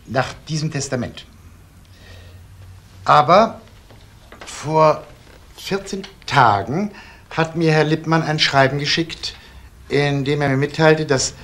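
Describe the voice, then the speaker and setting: An actor playing a formal notary in a crime radio play, speaking in a dry, measured, official manner. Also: male voice, on the high side, fairly bright tone. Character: formal, precise notary reading out documents.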